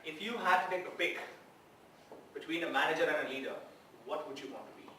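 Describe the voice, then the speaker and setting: A man's voice: a little high, fast talker, pushed and bright. A male guest professor giving a lecture to students, asking them questions and repeating his key words. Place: large echoey hall. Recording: echoey hall, distant mic, faint noise.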